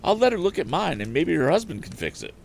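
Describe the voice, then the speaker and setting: A man's voice: on the high side, very animated, fast, gravelly, voice pushed up, exaggerated voice. A man voicing a grateful, chatty farm wife from a storybook, with exclaiming, old-fashioned country talk. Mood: chatty, agreeing. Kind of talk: storybook character voice.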